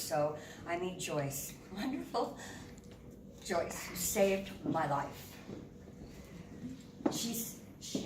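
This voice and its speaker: A woman in her fifties giving an animated, candid and emotional personal testimony as a guest speaker. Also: on the low side. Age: 50s. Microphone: room mic at a distance. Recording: echoey hall from far off, noisy.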